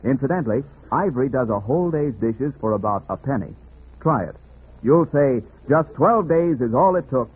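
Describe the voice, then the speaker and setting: A man's voice: mid-range voice, animated, normal speed, husky. A man announcing on 1940s radio, reading commercials with a polished, emphatic sales delivery. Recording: muffled, phone-like 1940s radio recording.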